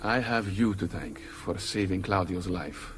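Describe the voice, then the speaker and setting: An actor playing a shrewd, wary Italian leader of a thieves' guild in a dramatic game scene. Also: male voice, sits low, measured pace, fairly bright tone, a little hiss or hum. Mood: warming, confiding. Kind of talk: dramatic video game acting.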